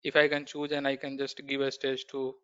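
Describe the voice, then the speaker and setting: Bright, clear-voiced man leading a class call. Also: medium pitch, completely flat delivery. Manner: halting, many fillers, hands turns to students. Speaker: SAP trainer. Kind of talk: online class introduction. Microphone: video call. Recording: dull, muffled video call audio.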